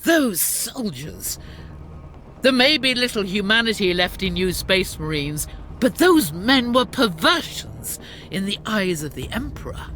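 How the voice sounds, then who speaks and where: A disdainful imperial zealot from a sci-fi war game. The voice is high and bright, swinging widely as it declaims righteously. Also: male voice, sing-song, measured pace, full character voice, rough recording. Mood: scornful. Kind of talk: dramatic game voice acting.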